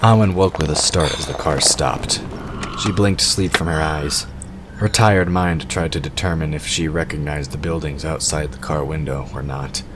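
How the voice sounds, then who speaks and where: Male narrator, extremely deep register, scripted fantasy storytelling, noticeably noisy ambient bed. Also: normal speed. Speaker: narrator of an audio drama. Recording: clearly noisy background ambience.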